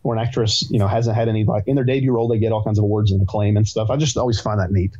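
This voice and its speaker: A man's voice: fairly low pitch, fairly even intonation, racing, dark tone. A young man chatting on a podcast about movies, filling his talk with "like". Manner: chatty, fillers of "like". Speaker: podcast co-host. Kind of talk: casual chat about films. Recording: dull lo-fi sound.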